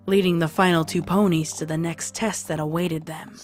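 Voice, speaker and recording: A woman reads scripted fiction as the narrator. Her pitch sits on the low side for a woman, and music plays behind her. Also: fairly even intonation, moderate pace. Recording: music under the voice.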